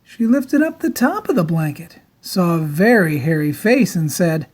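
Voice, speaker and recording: A woman narrating a children's storybook aloud in a dramatic, theatrical style with deliberate emphasis. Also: lowish voice, big pitch swings, darkish tone, husky.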